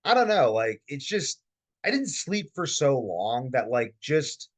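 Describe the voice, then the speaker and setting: Animated, rambling young man on a webinar. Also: mid-range voice, unhurried. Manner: rambling, filler-heavy, animated. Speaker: webinar host, insomnia coach. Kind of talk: casual webinar talk. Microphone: computer mic on video call.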